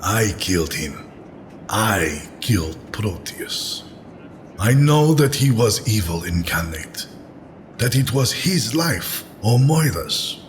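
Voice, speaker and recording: Man playing a slow, low, anguished hero. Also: very animated, soft background music. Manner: anguished, halting. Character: anguished young strongman hero, male, guilt-ridden and brooding. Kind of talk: audio drama inner monologue.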